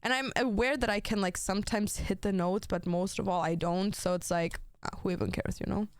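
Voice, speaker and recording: A woman's voice: medium pitch, steady tone, a little fast, clean tone, dry recording, clean studio sound. A young woman streaming, chatting casually, swearing a lot and saying "like" and "bro" constantly. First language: German. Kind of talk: casual chat on a gaming stream.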